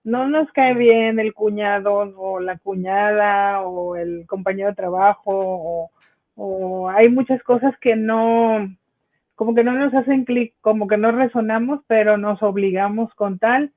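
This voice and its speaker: Male podcast guest, high voice, reflective and rambling, heard over thin call audio. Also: fairly even intonation, a little slow. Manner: reflective, talks in long, winding sentences. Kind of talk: casual conversation. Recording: tinny phone-like call audio.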